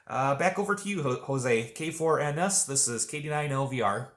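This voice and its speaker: A man's voice: mid-range voice, moderate pace, fairly bright tone, reverberant. A man chatting casually and at some length as an amateur radio operator on a contact. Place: indoors at a home radio desk.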